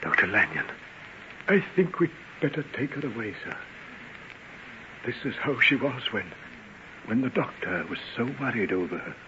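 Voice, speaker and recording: An actor playing a grieving, vengeful manservant in an old-time radio drama, deferential yet bitter and anguished. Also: male voice, medium pitch, sing-song, moderate pace, pauses often, natural voice. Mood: worried. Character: grieving, vengeful manservant, respectful to his betters. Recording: dull, hissy old radio recording.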